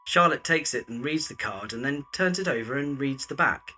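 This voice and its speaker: A man's voice: medium pitch, animated, normal speed, bright, forceful tone, husky. A man narrating a scripted audio drama in the present tense, describing scenes and actions.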